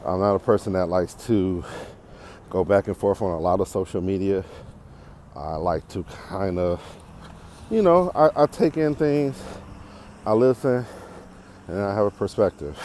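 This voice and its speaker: An American man in his forties talking casually and unscripted as a podcast host, in a reflective, halting, rambling way while walking outdoors. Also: deep voice, animated, a little mellow. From Detroit area, United States. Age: 40s. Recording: clearly noisy outdoor walking recording.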